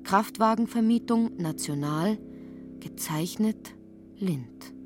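A woman's voice: medium pitch, expressive, takes it slowly. A woman narrating a scripted literary radio play as its storyteller. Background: music.

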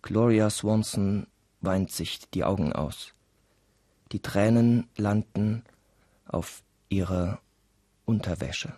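A man narrating a scripted radio play with deliberate, dramatic storytelling. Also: fairly low pitch, warm tone, no echo, studio quality.

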